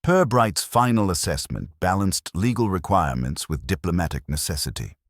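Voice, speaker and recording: A man with an exceptionally deep voice narrates a detective story. His scripted delivery is formal and measured, yet the intonation moves around a lot. The sound is very dry. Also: sing-song, dry recording. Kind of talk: scripted audiobook-style narration.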